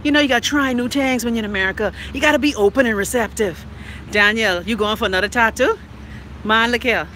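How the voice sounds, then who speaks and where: A woman's voice: a little high, quick pace, bright, forceful tone, slight background noise. A Bahamian woman hosting a podcast, chatting bluntly and joking as she walks down a street. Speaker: podcast host. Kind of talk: casual vlog chat while walking. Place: outdoors on a street.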